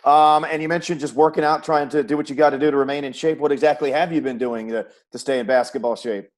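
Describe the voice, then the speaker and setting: A man's voice: a little high, steady tone, fast. An American man working as a sports broadcaster, hosting an interview show with introductions and questions.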